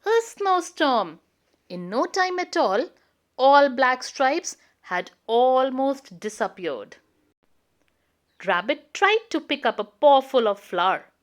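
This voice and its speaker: A woman storyteller narrating a children's book aloud in a deliberate, expressive way. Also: sits high.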